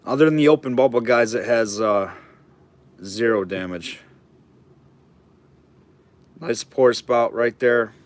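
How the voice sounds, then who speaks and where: A man calling a live auction, his voice going hoarse and scratchy from hours of talking. He sits at a middle pitch and rambles casually between bid calls, lifting his voice for each new number. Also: slow, long pauses, no room sound.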